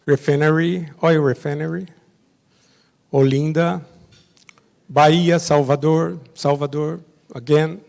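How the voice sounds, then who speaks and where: A Brazilian judge whose first language is Portuguese, giving a conference presentation in halting English with short, simple phrases. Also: male voice, a little high, gravelly, darkish tone. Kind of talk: slide presentation at a summit. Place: large hotel conference hall. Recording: long reverb of a big hall.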